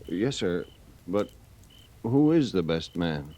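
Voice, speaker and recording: A man's voice: deep voice, sing-song, slow, dark tone, full character voice. A man acting an earnest, deferential, slightly naive junior cavalry officer in a 1950s radio western, polite and tentative. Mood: puzzled, tentative. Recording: vintage radio drama, music behind.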